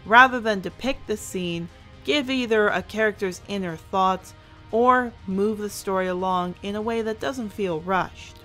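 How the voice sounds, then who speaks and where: A woman's voice: medium pitch, fairly bright tone. A woman hosting a scripted review, speaking in an earnest, emphatic way with somewhat formal phrasing. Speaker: host and reviewer. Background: background music.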